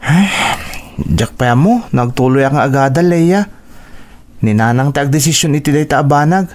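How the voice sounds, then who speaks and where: A man's voice: a little high, animated, normal speed, darkish tone, close to an everyday voice. A man acting a dutiful, respectful teenage schoolboy in an Ilocano radio drama, with an Ilocano accent. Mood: downcast, worried. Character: dutiful teenage schoolboy, poor and devoted to his mother.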